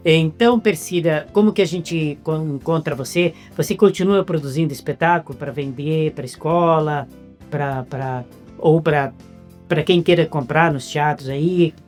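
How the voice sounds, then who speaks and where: This is a man with a Brazilian Portuguese accent who hosts a relaxed interview. He jokes easily and often stumbles and restarts his words. Quiet music runs faintly in the background. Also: on the high side, a little slow. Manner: playful, joking, stumbles over words. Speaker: interviewer host.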